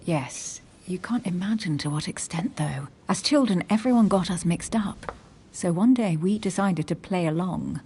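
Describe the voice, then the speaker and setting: A woman's voice: lowish voice, expressive, moderate pace, dark tone, a little hiss or hum. An actress playing a proud, guarded young woman agent in a dramatic video game, speaking with cutting, defiant self-assurance. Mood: confiding, earnest. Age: young adult. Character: guarded, proud, sharp-witted young female secret agent.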